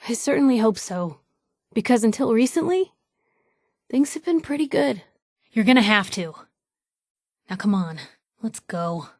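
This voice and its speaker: Game companion role: feisty young woman reporter, lightly high pitch, wry, guarded, emphatic, naturalistic delivery, very dry recording. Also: dry recording. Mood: hurt, disappointed. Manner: sardonic, emphatic, hesitant when vulnerable. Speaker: video game companion character. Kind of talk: naturalistic game dialogue acting.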